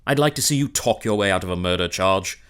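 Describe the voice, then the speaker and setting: An actor playing a controlling, pompous lawyer who schemes in a theatrical period melodrama. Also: male voice, low-pitched, expressive, fast, fairly bright tone, clean tone. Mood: exasperated, threatening. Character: pompous, controlling male lawyer plotting crime. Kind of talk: period melodrama audio drama acting.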